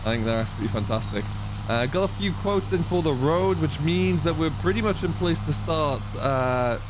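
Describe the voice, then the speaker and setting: A man's voice: a little high, expressive, normal speed, background noise. A man giving casual, easygoing game commentary as a farmer, musing aloud as he goes. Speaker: host of a farming simulator roleplay series. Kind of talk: casual let's-play commentary, roleplaying a farmer. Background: tractor and machinery sounds from the game.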